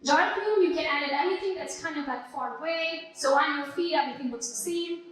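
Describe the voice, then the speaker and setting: A woman's voice: sits high, a little fast, fairly bright tone. A woman giving direct tips to a class, telling her audience what to write down. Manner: direct, gives instructions to the audience. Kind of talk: classroom instruction. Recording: very echoey room.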